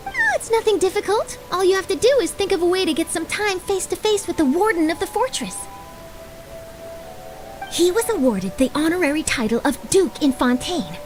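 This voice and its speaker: A woman's voice: extremely high pitch, sing-song, normal speed. A woman voicing an eager, nosy young female newspaper reporter in a video game, bubbly and theatrical in her delivery. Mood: persuasive, gushing. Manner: bubbly, dramatic, gushing. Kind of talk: animated video game voice acting. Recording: game music behind the voice.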